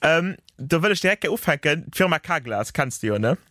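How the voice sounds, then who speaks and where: A man with a Luxembourgish accent talks playfully on a podcast, eager to share trivia. His voice sits a little high for a man. The recording is close and dry. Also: expressive, moderate pace, sharp tone, dry recording. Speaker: podcast co-host. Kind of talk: casual chat.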